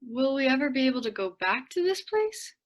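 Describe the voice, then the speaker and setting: A woman's voice: high-pitched, sing-song, fairly quick. A woman playing a fearful, tender young sister in a modern radio play. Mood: fearful, upset.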